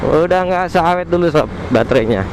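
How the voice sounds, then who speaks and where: A man's voice: on the high side, lively intonation, fairly quick. A man with a colloquial Jakarta Indonesian accent gives a casual, slangy gear review into a helmet headset mic while riding outdoors. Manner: informal, slangy. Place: outdoors on a motorbike at night. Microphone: modified headset mic inside helmet. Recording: loud road and engine noise, helmet mic. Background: traffic and vehicle noise.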